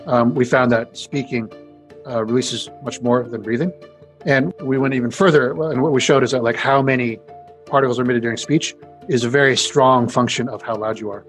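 A man with a deep voice, a scientist explaining his research in an interview in a casual way, with many fillers and restarts. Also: fairly even intonation, fairly quick, soft background music.

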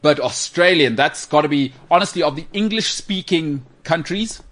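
A man with a South African accent hosting a talk show, giving opinions in casual, slangy, animated banter. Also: on the high side, normal speed. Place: studio.

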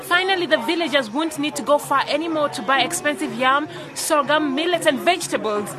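A woman's voice: sits high, expressive, brisk. An actress playing an enthusiastic, encouraging young village woman in a radio drama. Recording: music and party crowd behind.

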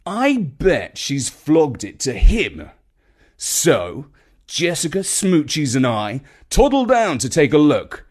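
A man acts out a breezy, self-assured uncle from a children's story. He drawls colloquially and emphatically, taking his time, with a high voice that leaps about. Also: wildly swooping pitch, slow, full character voice. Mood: confiding, triumphant. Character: breezy, charmed, self-assured younger or middle-aged uncle.

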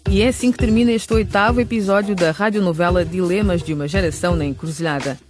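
A woman's voice: fairly low pitch, fairly even intonation, fast talker, a little mellow. A woman announcer reading a scripted outro for a radio series. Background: hip hop music.

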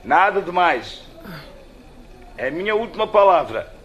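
A firm father in a radio drama. He is curt and final, with a fairly high male voice. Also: very animated, unhurried, fairly bright tone, heavily acted. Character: firm, curt father laying down rules to son.

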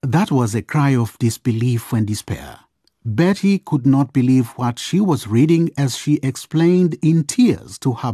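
A man narrates a scripted radio drama with expressive storytelling. His voice is husky and mellow with animated pitch, recorded very dry. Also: no echo.

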